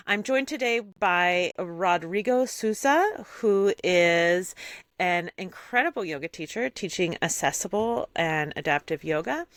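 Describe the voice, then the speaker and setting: A woman's voice: lowish voice, a bit bright, no echo, clean studio sound. A woman hosting a podcast interview in an upbeat, enthusiastic way over a video call. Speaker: podcast host.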